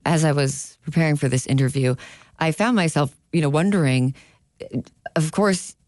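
A woman's voice: low-pitched, moderate pace, a little mellow, dry recording, studio quality. A woman hosting a broadcast radio interview, asking questions and introducing a guest.

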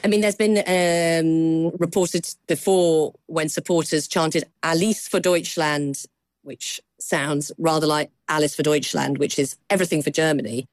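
A woman correspondent explaining the news in a measured conversational way, with thinking pauses. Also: deep voice, fairly even intonation, dry recording.

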